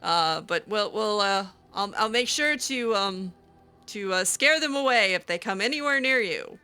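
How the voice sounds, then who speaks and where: Young woman gamer-roleplayer: bright, lively tone, hesitant, filler-heavy delivery. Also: mid-range voice, unhurried. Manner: hesitant, lots of fillers, laughs easily. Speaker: let's-play roleplayer. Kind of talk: casual in-character game roleplay.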